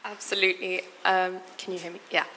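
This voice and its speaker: Low, gravelly-voiced woman panelist. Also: little pitch movement, fairly quick, bright, forceful tone. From Morocco and London. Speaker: panelist, founder. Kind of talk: panel discussion answer. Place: large conference hall. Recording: reverberant hall, faint noise.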